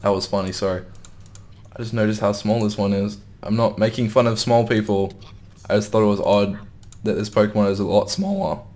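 A young man with a fairly low voice talks through a game he is playing, wondering aloud and muttering to himself. His throat is a little sore, and his voice is starting to go. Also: fairly even intonation, brisk, a little mellow. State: slightly sore, losing voice. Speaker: gaming YouTuber. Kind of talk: casual gameplay commentary.

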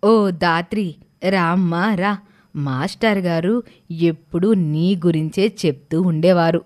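Woman doing a kindly cartoon widow, lowish voice, measured, earnest and reverent. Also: a little mellow. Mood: earnest, sorrowful. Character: grave, kindly widowed schoolmaster's wife. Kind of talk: cartoon story voice acting.

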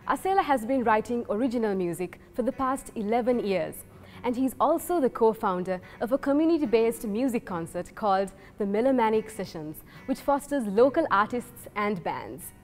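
A woman's voice: fairly high pitch, animated, normal speed, darkish tone. A woman, hosting an interview with prepared questions. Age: 20s. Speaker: interviewer, host. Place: large brick-walled room with big windows, indoors. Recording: music behind the voice.

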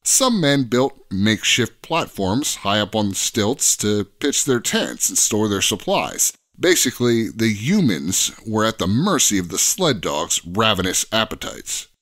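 A man's voice: on the low side, big pitch swings, a little slow, few pauses, a bit bright. A man giving an informative, scripted-sounding podcast explanation as a guest expert, with an articulate storytelling delivery.

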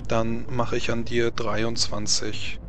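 A man's voice: mid-range voice, monotone, slow pace. A man narrating and refereeing a tabletop roleplaying game as game master. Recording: music playing behind the voice. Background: music.

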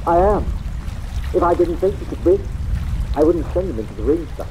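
An actor playing an obsessed, menacingly polite fight-fixing boss in an old radio drama, speaking formally and precisely with emotional intensity. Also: male voice, a little high, expressive, a little fast, dark tone, a little hiss or hum, lightly acted, poor audio. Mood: intense. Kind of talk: 1940s-50s radio drama acting. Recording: old radio recording under rain ambience.

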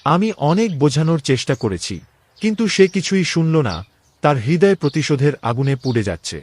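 A man's voice: a little high, animated, fairly quick, dry recording. A man playing a king's loyal, worried young friend in a cartoon drama, with an earnest, pleading way of speaking. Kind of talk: dramatic Bengali cartoon voice acting.

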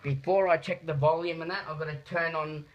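A man giving a step-by-step practical demonstration in a deliberate, halting way, repeating his phrases, picked up by a phone camera in a small room. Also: on the high side, steady tone. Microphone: phone camera held nearby. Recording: muffled phone video sound.

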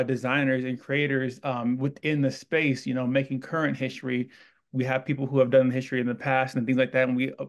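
Fast-talking Texan man hosting. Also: mid-range voice. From Texas. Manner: enthusiastic, effusive and rambling. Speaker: host. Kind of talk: interview hosting. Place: indoor studio room with wall art. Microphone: studio mic on boom arm.

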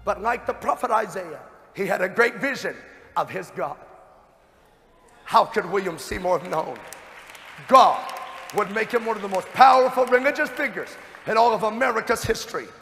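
A man's voice: high voice, animated, moderate pace, a bit bright, a faint crowd, slight background noise. A man acting a dignified, fervent revival witness of the early 1900s, narrating a stage play with solemn, dramatic emphasis. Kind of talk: theatrical monologue in a stage play. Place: stage of a large dark theatre. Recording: echoey hall, music behind.

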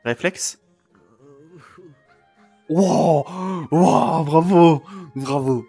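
Man, higher-than-average voice, theatrical, playful improvised banter, roleplay streamer, prone to outbursts. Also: very slow, gravelly, warm tone, husky, faint music behind.

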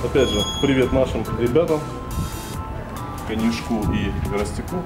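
A man's voice: medium pitch, moderate pace. A young man walking a gym with a camera, commenting casually as he thinks aloud, with fillers and restarts. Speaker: weightlifting vlogger touring a gym. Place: large gym hall indoors. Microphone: handheld camera at close range. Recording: echoey gym hall with loud music. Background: loud music.

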